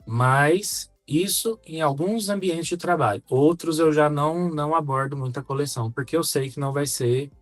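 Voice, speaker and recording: A man with a Brazilian accent talking reflectively as a podcast co-host, measured and articulate, feeling for his words. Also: on the high side, no echo, soft background music. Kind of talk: reflective conversational podcast talk.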